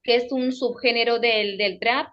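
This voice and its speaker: A young woman with an Equatoguinean Spanish accent speaks in a measured, academic way, pausing to repeat and correct her words. Her voice sits fairly high. The sound is dull and lo-fi, as over a remote call. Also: steady tone, fairly bright tone. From Equatorial Guinea. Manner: careful, self-correcting, repeats phrases. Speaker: guest, radio presenter.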